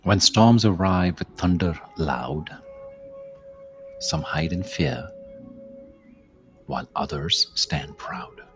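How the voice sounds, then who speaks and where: Very deep, slow-paced man guiding meditation. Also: expressive, faint music behind. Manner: measured, contemplative, with long pauses and softly trailing phrases. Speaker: guided meditation host. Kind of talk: slow guided meditation, spoken-word reflection with verse.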